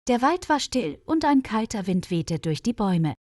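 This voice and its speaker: Female storyteller for learner audio, animated intonation, dry close sound, scripted narration. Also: on the high side, normal speed, no room sound.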